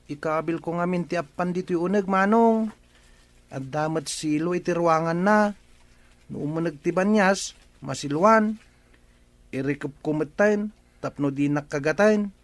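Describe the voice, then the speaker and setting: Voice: medium pitch, measured pace, pauses often, no room sound, close to an everyday voice. Village boy for radio drama, acted: young, earnest and respectful, Ilocano accent, very dry studio sound. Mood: respectful, eager. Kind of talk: comedy radio drama acting.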